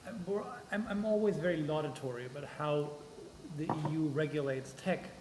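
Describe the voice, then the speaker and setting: A man talks on a conference panel in a thoughtful, wandering way, often tagging his points with "right?". His voice sits a little high for a man, and a long hall reverb trails behind it. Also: moderate pace, background noise. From Germany. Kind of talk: panel discussion.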